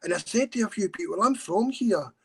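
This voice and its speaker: Scottish man, middle-aged, fairly high voice, earnest urgent storytelling. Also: expressive, vocal fry, darkish tone, breathy edge. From Scotland. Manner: earnest, urgent, talks fast and at length. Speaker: campaigner and guest. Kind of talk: emotional casual storytelling.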